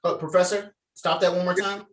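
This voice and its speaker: A man's voice: high-pitched, steady tone, fairly quick, fairly bright tone. A man directing a script table read on a video call, switching between reading stage directions and coaching actors. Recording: distant mic on video call.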